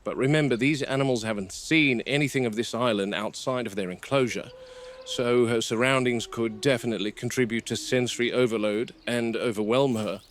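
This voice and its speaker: A man playing a seasoned, watchful game warden, recording an analytical field log in measured, clipped observations. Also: mid-range voice, natural voice. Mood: curious, wary. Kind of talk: found-footage field log monologue. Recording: noisy VHS-style found-footage audio.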